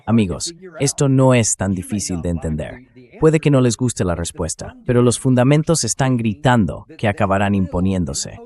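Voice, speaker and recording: Low-pitched man giving political commentary. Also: expressive, normal speed, a little mellow. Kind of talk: political commentary addressed to viewers.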